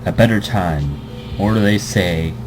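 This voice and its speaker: A man's voice: low voice, unhurried, over music. A man hosting a let's play, reading a visual novel aloud with commentary, tripping over words and restarting. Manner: stumbles and restarts words, chuckling asides. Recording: air conditioning hum behind.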